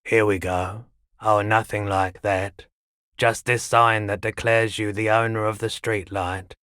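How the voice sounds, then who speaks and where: An oddly philosophical male pawnshop keeper for a video game. His voice sits on the low side and he takes his time, stretching phrases out in a deadpan, laid-back way. The sound is dry, with no room around it. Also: smooth, no echo. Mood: matter-of-fact, unhurried. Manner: deadpan, unhurried, drawn-out phrasing. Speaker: shopkeeper character. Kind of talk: video game character dialogue.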